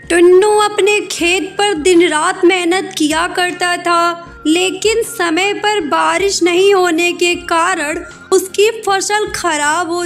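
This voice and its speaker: A woman's voice: very high-pitched, a bit bright. A woman narrating a scripted children's cartoon story. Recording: music behind voice.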